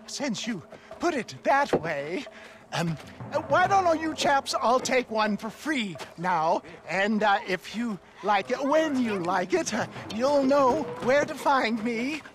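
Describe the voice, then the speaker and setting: A man's voice: extremely high pitch, expressive, slow, full character voice. An actor voicing a crooked old Wild West snake-oil salesman in a western game, with grandiose barker patter that turns to flustered wheedling. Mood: wheedling, evasive. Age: older. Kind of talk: theatrical sales pitch in western game acting.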